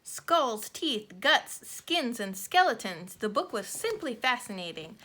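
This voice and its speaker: A woman narrating a children's picture book, reading the scripted text in measured phrases with deliberate pauses. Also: fairly high pitch, sing-song, bright, forceful tone.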